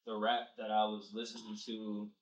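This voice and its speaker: A man's voice: on the low side, completely flat delivery, normal speed. A young man who grew up in New Jersey, talking casually and laughing easily as a guest in an interview. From Nigeria, raised in New Jersey. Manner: laid-back, slangy, laughs easily. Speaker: rapper guest. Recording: distant mic, roomy echo.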